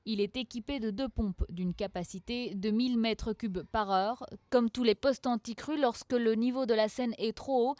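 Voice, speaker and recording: A woman reads a scripted informational narration in a measured, factual way. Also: fairly high pitch, a little mellow, dry recording. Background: music.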